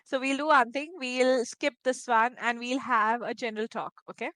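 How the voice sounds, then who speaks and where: A woman's voice: a little high, steady tone, normal speed, fairly bright tone, no echo. A woman who speaks Malayalam as her first language, tutoring English in a one-on-one conversation lesson and talking in long encouraging turns. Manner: encouraging, talks in long flowing turns with "you know" and "right?".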